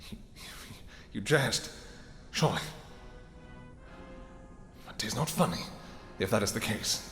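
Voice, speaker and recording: An actor playing a regal, possessive vampire king in a fantasy audio roleplay, with archaic, theatrical speech and halting pauses. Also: on the low side, expressive, fairly bright tone. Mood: disbelieving grief. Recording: echoey hall reverb with music.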